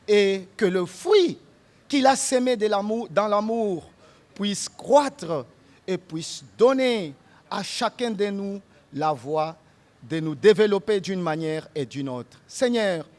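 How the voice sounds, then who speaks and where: A man with a Congolese accent leading a solemn prayer at a memorial gathering, speaking in measured phrases; his first language is Tshiluba. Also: sits high. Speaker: master of ceremonies leading prayer.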